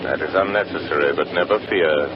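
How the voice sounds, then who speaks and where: Mysterious unseen vigilante, low and mocking, old radio drama with dull sound. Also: male voice, brisk. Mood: taunting. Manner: cool, taunting, with a mocking laugh. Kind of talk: 1930s radio acting. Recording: scratchy old radio broadcast with hiss.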